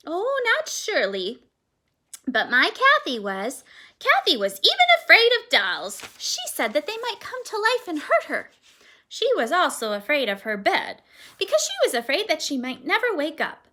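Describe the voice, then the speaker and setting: A woman's voice: high voice, huge pitch swings, moderate pace, bright, forceful tone, voice pushed up, full character voice. A woman voicing a chatty, gossipy middle-aged mother in a read-aloud storybook. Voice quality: chatty and gushing.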